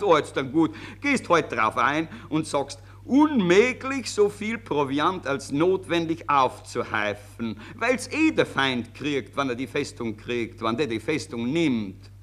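A blustering Austrian staff officer in an old radio play, drilling orders into a phone. His highish voice swoops up and down in exasperated Viennese dialect. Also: a bit bright, heavily acted. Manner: haranguing, exasperated, drilling instructions, sloppy dialect. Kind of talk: 1940s German radio play acting. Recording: old 1940s radio recording.

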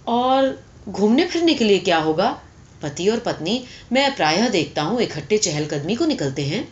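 A woman's voice: mid-range voice, very animated, dull audio, natural voice. A woman voicing a timid, astonished male donkey suitor in a story, with hesitant, stammering questions. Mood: astonished, protesting.

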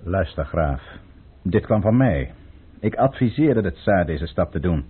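An actor playing a scheming, controlled count and royal adviser with a measured, formal manner in a radio play. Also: male voice, low voice, lively intonation, husky, heavily acted. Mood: sombre. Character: scheming, controlled male count advising the tsar, secretly tormented. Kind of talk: Dutch radio play acting. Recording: tinny, muffled old radio play recording.

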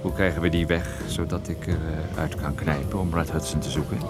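Dry, shrewd detective over loud music. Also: male voice, extremely low pitch, steady tone, a little fast. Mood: scheming. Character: shrewd, dryly teasing male private detective. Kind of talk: Dutch radio drama acting.

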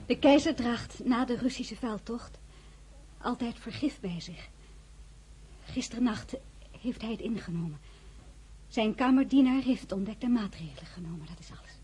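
An actress as a composed, mellow-voiced crown princess. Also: female voice, fairly high pitch, normal speed, long pauses, slight background noise, lightly acted. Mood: sombre. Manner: composed, courteous, gently firm. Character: composed, caring crown princess, wife and gracious hostess. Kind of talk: radio drama acting.

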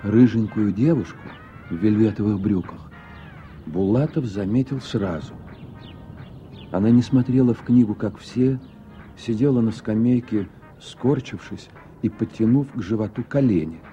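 A man reading scripted literary narration for a radio play, measured and with deliberate pauses. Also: fairly low pitch, mellow. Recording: dull old radio recording, faint music. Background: faint music.

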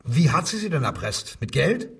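A man playing a measured, insistent Nazi-era police inspector who coaxes and probes with authority in a radio crime drama. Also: on the high side, very animated, fairly bright tone, slightly rough, far from the mic, heavily acted. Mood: probing. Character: controlled, probing male Nazi-era Berlin police inspector. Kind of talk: German radio drama dialogue, interrogation.

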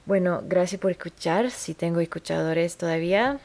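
A woman with a slightly low voice talks alone on her podcast. She speaks Spanish as a second language, so she restarts often and hunts for words. Her tone stays earnest throughout. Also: moderate pace, darkish tone. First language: not Spanish. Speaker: podcast host. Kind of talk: solo podcast monologue.

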